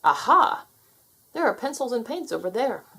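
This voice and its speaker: A woman voicing a patient, wry male magic dragon guide in a children's storybook, theatrical and gently teasing. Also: fairly high pitch, sing-song, moderate pace, creaky, breathy edge, voice pushed up, exaggerated voice. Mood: playful, grand. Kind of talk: children's storybook character read aloud.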